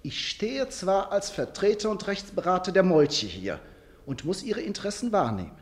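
A man's voice: high voice, sing-song, moderate pace. An actor playing a suave, menacing male legal advocate, giving a persuasive formal oration in a radio play. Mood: earnest warning. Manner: rhetorical, persuasive, veiled menace.

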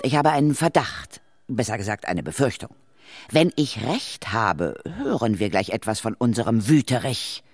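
Low-voiced, expressive female ghost hunter. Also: dry recording, full character voice. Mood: wary. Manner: deliberate, tactfully explanatory. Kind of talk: children's radio play acting.

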